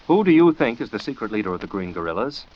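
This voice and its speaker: An actor playing a confident, cool amateur detective in 1940s radio style, assured and commanding. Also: male voice, lowish voice, very animated, fast. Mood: genial, hosting. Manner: taunting, assured, crisply commanding. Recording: muffled, noisy 1940s radio recording.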